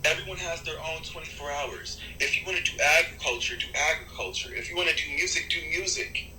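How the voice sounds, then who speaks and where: A man in an interview with a bright, forceful voice that sits a little high. He talks in long, animated runs, restarting phrases and swearing for emphasis. The room is echoey, with a faint hiss behind him. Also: a little fast. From United States. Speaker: rapper and artist, interview guest. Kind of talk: rambling interview answers.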